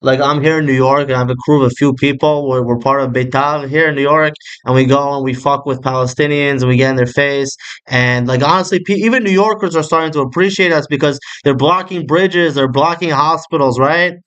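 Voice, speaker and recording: A man's voice: mid-range voice, steady tone, a little fast, a bit bright, clear, resonant tone, rough recording. A young man in casual, rambling talk, swearing freely, peppering every line with "like" and "bro" and dropping in Hebrew words. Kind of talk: casual chat.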